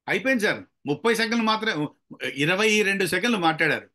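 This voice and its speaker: A man's voice: high voice, expressive, racing, bright, forceful tone. A man training people in public speaking, emphatic and challenging as he instructs with examples. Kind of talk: interactive training lecture with examples.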